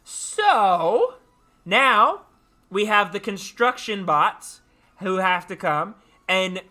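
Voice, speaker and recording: A man hosting a livestream, sounding tired yet animated, chatting casually and haltingly as he repeats his phrases. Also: sits high. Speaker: livestream host. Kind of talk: casual rambling chat to viewers.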